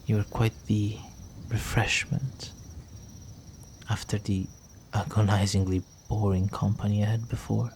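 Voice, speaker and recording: Low, slow, seductive vampire gentleman, acted by a man. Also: little pitch movement, a little hiss or hum. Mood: charming, teasing. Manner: seductive, courtly, teasing, with breathy murmurs. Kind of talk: intimate roleplay audio drama.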